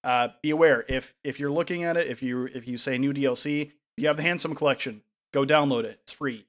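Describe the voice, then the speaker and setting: A man of ordinary pitch co-hosts a podcast in a casual way. He gets animated as he tells his stories and often laughs partway through them. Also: fairly quick. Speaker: podcast co-host. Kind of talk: casual chat.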